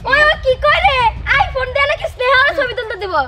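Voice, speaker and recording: A woman's voice: extremely high pitch, fast talker, distant mic. A woman voicing a mocking, boastful young bully in a cartoon comedy, with a Bangladeshi accent and a taunting delivery. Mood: scornful. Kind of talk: cartoon comedy drama dialogue.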